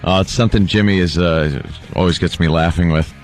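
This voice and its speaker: A man's voice: deep voice, fairly even intonation, brisk, faint music behind. A man bantering casually as co-host of a radio talk show, on a close studio mic.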